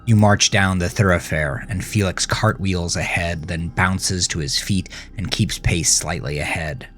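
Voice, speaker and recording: A man narrating a horror story with a grave, deliberate, suspenseful delivery. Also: deep voice, fairly even intonation, a bit bright, faint music behind. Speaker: author and narrator of horror podcast. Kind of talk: dramatic second-person horror story narration.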